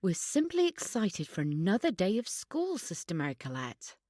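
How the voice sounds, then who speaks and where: A woman's voice: mid-range voice, wildly swooping pitch, moderate pace, mellow, no room sound, clean studio sound. Sarcastic, swooping teenage schoolgirl. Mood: mock-polite. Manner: mock-polite, sarcastic.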